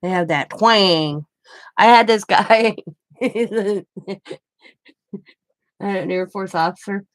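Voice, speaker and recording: A woman's voice: medium pitch, very slow, pauses often, slight background noise. A woman from the Midwest, chatting casually and rambling while she crafts, laughing often. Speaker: craft vlogger.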